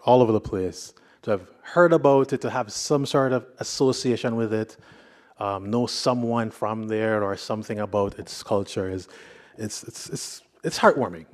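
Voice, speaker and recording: A man's voice: lowish voice, normal speed. A man from Jamaica with a Jamaican accent, preaching a sermon in a conversational, hesitant way, with fillers and restarts. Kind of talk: sermon with personal reflections.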